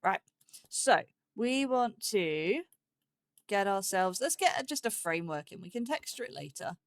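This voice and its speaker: A woman hosts a let's play with casual commentary. She thinks out loud in short, broken phrases, playfully chatting to herself at a mid-range pitch. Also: expressive, a little slow, no room sound, studio quality.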